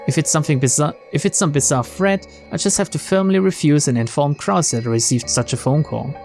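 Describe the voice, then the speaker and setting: A man reading a visual novel aloud as narrator, in an expressive, dramatic storytelling style. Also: fairly high pitch, a little fast, creaky. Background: faint game music.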